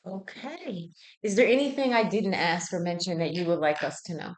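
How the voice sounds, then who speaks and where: A woman's voice: lowish voice, normal speed. A woman working as a healthcare intake interviewer, asking health history questions conversationally and methodically, checking each answer.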